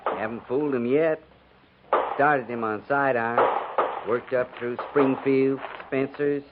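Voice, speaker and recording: An actor playing a plain-spoken, wry frontier army sergeant with rustic, colloquial speech in a 1950s radio western. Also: male voice, mid-range voice, steady tone, slow pace, phone-quality sound, natural voice. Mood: wry, admiring. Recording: noisy, thin 1950s radio recording.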